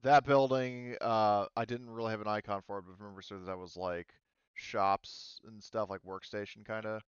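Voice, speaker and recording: Low smooth guy game mastering, kinda thinking out loud, slightly muffled audio. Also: fairly even intonation, normal speed, no room sound. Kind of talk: casual tabletop game narration.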